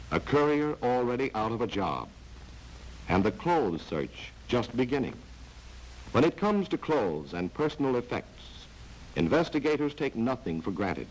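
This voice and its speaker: A man playing a sardonic, taunting narrator in a measured, dramatic 1940s spy training film. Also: medium pitch, darkish tone, lightly acted, low-quality recording. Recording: hissy vintage 1940s film soundtrack.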